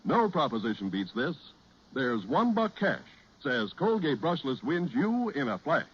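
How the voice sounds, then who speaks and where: An American man delivering a scripted 1940s radio sales pitch, on an old broadcast recording. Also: big pitch swings, unhurried, muffled recording, background noise. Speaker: commercial announcer.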